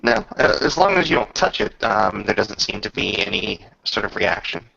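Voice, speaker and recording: A man acting as game master, improvising narration for a tabletop roleplaying game in a halting, thoughtful manner. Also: lowish voice, very animated, vocal fry, bright, forceful tone, no echo, muffled recording.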